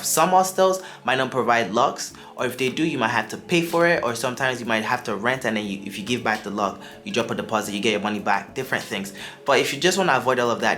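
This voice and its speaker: A young Nigerian-accented man, his accent drifting toward American now and then, talks to camera in a chatty, upbeat vlogging way. His voice sits at an ordinary middle pitch. Faint music runs quietly beneath him. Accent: Nigerian, shifting toward American. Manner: chatty and upbeat, with asides and restarts. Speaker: travel vlogger. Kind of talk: casual advice to camera.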